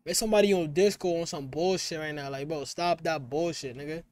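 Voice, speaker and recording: A man's voice: on the high side, brisk. A man, chatting and teasing strangers on a video call through a headset, brash and slangy. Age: 20s. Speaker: Omegle video chat host. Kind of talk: casual video chat banter. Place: small dim room with colored lights.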